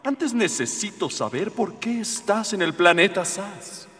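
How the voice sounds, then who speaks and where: A man's voice: sits high, big pitch swings, a little slow, fairly bright tone, in a large hall, soft background music, heavily acted. A man playing a gallant, idealistic young alien rebel prince in a comic radio drama, earnest and formal. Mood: lofty, earnest.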